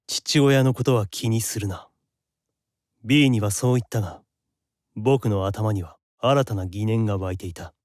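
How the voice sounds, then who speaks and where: An actor playing a composed, guarded male investigator who narrates his own mystery in an audio drama with serious, measured delivery. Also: fairly low pitch, darkish tone, no echo, close to an everyday voice. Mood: grave, restrained. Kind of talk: audio drama dialogue and inner monologue. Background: prominent music.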